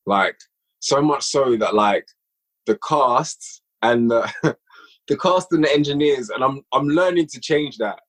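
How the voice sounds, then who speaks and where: A man talks in a casual interview about his own creative work, with a slightly husky voice at an average male pitch. He is emphatic and tends to repeat short phrases to drive his point home. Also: measured pace. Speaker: podcast guest, audio drama writer and director.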